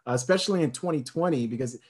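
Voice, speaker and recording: Casting: man, middle pitch, fervent and emphatic, stacks points into long lists, uses fillers and restarts. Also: fast talker, darkish tone, breathy edge. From United States. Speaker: speaker on history. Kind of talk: impassioned talk on history.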